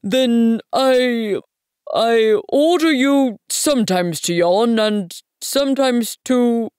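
A woman's voice: medium pitch, big pitch swings, darkish tone, no room sound, studio quality. A woman voicing a pompous old male king from a storybook, speaking in a grand, imperious manner of command. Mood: flustered, vexed. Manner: grand, imperious, slowly proclaiming.

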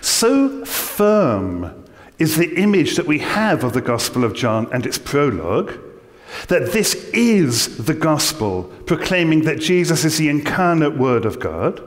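A middle-aged man with an English accent giving a measured, scholarly theology lecture from a church lectern. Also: on the high side. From England. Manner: measured, scholarly, dry humor. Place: large church, indoors. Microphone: lectern mic. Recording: long church reverb.

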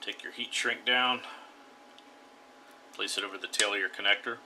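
A man hosting a radio hobby channel, calmly talking viewers through a hands-on build step by step. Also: fairly low pitch, normal speed, noisy background. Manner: plain, instructive, step-by-step. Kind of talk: DIY tutorial voice-over.

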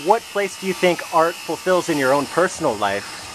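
Male interviewer, slightly high and very lively in pitch, casual, noisy outdoor recording. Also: moderate pace. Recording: clear outdoor urban noise.

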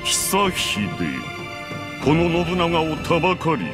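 A man voicing an imperious, calm middle-aged warlord in a Japanese video game, stately, commanding and coolly menacing. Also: on the high side, slow pace, music in the background. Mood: cold, menacing.

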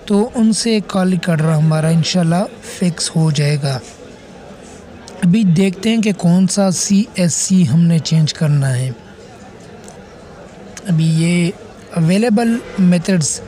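High-pitched man giving a mellow tech tutorial. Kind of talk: casual spoken step-by-step phone repair tutorial.

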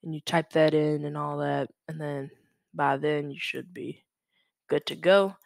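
A young man from Louisiana hosting a casual, unscripted screen-share tutorial, halting and correcting himself as he goes. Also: a little high, little pitch movement, creaky, dry recording, clean studio sound. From Louisiana, United States. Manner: hesitant, halting, self-correcting. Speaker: tutorial host. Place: indoors at a computer. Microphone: USB microphone.

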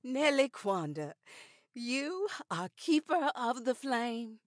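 Slow-spoken, dignified older woman. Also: a little high, big pitch swings, dry recording, clean studio sound. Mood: solemn, gracious. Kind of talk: radio drama acting.

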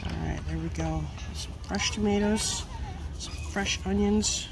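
A woman's voice: medium pitch, fairly even intonation, a little slow. A middle-aged woman chatting casually as she cooks outdoors, recorded on a phone. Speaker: home vlogger and mother cooking outdoors. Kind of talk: casual chat while cooking. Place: outdoors at a picnic table on a beach. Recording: loud outdoor beach noise, sizzling pan. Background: sizzling frying, outdoor ambience.

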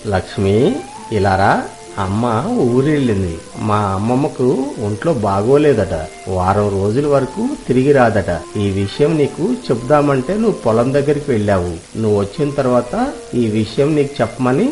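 A man's voice: mid-range voice, animated, measured pace, a little mellow, soft background music, close to an everyday voice. A man playing a young village husband in a moral story, plain-spoken and practical. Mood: matter-of-fact. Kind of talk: scripted moral-story dialogue.

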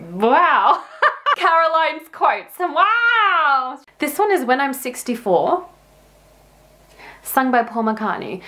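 A woman's voice: on the high side, a bit bright. A woman in her twenties hosting a music reaction video, talking casually and enthusiastically, thinking aloud and laughing often. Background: faint music playing.

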